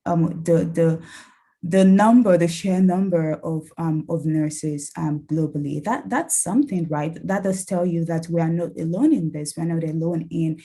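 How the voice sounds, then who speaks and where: Young woman w/ a soft nigerian-tinged accent giving an online talk, breathy voice, lots of ums and restarts but warm encouragement. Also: low voice, a little slow. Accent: Nigerian-tinged English. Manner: hesitant, many ums and restarts, earnest and encouraging. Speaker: nurse researcher speaking at a summit. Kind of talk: online academic talk with slides.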